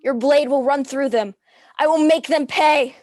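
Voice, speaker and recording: A woman's voice: sits high, unhurried, a little mellow, no echo, studio quality. A woman playing a grieving, defiant widow bent on revenge in a dramatic script reading. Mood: vengeful resolve.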